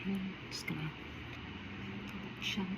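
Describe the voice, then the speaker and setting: A woman talking casually through a budget makeup tutorial, chatty and rambling with repeated warnings. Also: slow. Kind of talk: casual how-to chat. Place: home, indoors by a window. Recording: loud vehicle noise behind.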